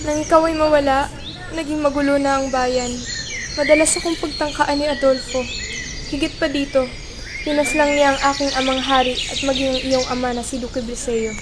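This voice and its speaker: A woman playing a gentle, long-suffering young princess in an amateur radio drama, speaking formally. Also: high-pitched, little pitch movement, moderate pace, background noise, close to an everyday voice. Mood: sorrowful recounting.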